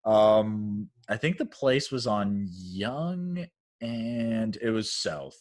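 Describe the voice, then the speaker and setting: A man's voice: on the low side, animated, extremely slow. A young man, co-hosting a casual podcast chat in a laid-back way with lots of fillers. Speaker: podcast co-host.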